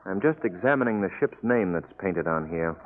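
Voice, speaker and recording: An actor playing a confident, coolly determined gentleman sleuth, decisive and urbane, in a 1940s radio drama. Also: sits low, expressive, a little fast, slight background noise. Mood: intrigued, calm. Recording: tinny 1940s radio recording, music traces.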